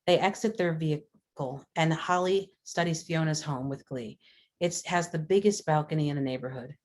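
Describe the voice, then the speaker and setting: A woman's voice: low-pitched, moderate pace, a little mellow. A woman reading screenplay stage directions as scripted narration, matter-of-fact in delivery. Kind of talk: scripted narration, table read.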